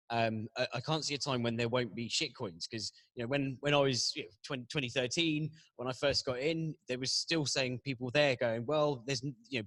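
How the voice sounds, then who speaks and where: A man in casual conversation, speaking haltingly and stammering through many false starts. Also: medium pitch, fairly bright tone, no echo, poor audio. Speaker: panel guest who works as a business analyst.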